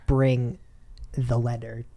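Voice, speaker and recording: A man's voice: mid-range voice, level tone, takes it slowly, dark tone. A man chatting casually as a player in a tabletop game, tossing in playful in-character quips.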